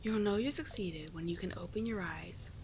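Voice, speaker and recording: A cursed sea witch, played by a woman, speaks in a breathy, soothing murmur that often slips into a whisper. She is regal and tenderly persuasive, with the theatrical delivery of an ASMR roleplay. A noticeable low rumble sits behind the voice. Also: lightly acted. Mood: soothing, guiding. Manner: slow, soothing, theatrical endearments. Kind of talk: ASMR roleplay, scripted monologue.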